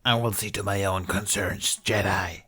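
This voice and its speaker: A man's voice: sits low, steady tone, normal speed, pushed and bright, breathy edge, full character voice. A man voicing a gigantic female alien slug crime lord in an audiobook, imperious and taunting.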